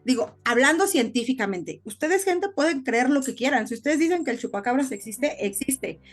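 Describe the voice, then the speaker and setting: A woman with a high voice tells stories casually as a podcast co-host. She explains in an animated way, checking in with short tag questions, over background music. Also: moderate pace. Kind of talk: casual podcast storytelling chat.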